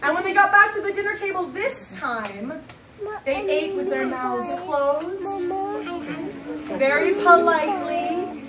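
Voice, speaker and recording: A woman's voice: very high-pitched, animated, takes it slowly, heavy background noise. A woman telling an interactive story to young children in a theatrical manner, prompting them to act along. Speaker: storyteller leading a children's puppet show.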